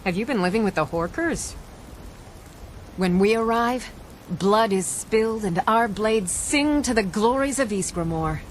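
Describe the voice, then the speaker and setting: A woman's voice: mid-range voice, expressive, measured pace, slight background noise, natural voice. A woman voicing a proud, eager warrior devoted to her warband in a fantasy video game. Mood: proud, admiring. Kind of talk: video game character acting.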